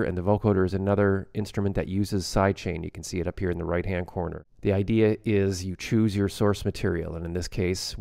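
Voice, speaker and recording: A man giving a casual software tutorial as a music production instructor, explaining plainly step by step. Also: deep voice, vocal fry, no room sound, studio quality.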